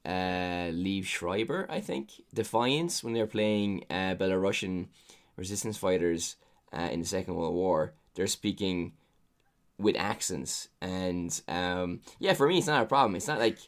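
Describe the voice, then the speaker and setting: A man's voice: low voice, animated, unhurried. An Irish man co-hosting a podcast in casual chat. He rambles and fills his talk with lots of ums and uhs. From Ireland. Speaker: podcast co-host.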